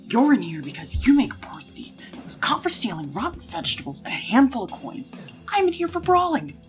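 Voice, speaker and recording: A woman acts as a teenage street brawler locked in prison. The voice is high and very animated, hot-tempered yet wary, urgently cautioning in a fantasy audio drama with music underneath. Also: fairly quick.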